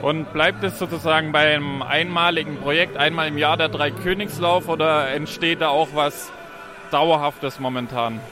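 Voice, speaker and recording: Male field reporter, slightly high voice, interview questions over event music. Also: steady tone, normal speed. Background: music and outdoor event sound.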